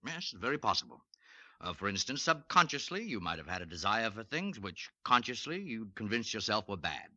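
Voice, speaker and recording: An actor playing a calm, questioning psychiatrist in a 1940s radio drama, speaking in a measured, lecturing way. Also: male voice, fairly low pitch, no room sound, full character voice. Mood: probing. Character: calm, probing male psychiatrist, middle-aged. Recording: dull lo-fi vintage radio recording.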